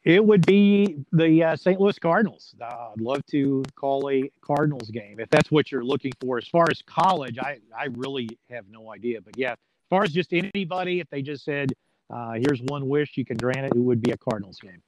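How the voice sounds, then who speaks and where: A man with a middling-pitched voice chats as a guest in a relaxed interview. He is folksy and plain-spoken, with a joking way of putting things. There is some noise and clicking behind him. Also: moderate pace, poor audio. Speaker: sports play-by-play announcer as interview guest. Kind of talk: casual interview chat.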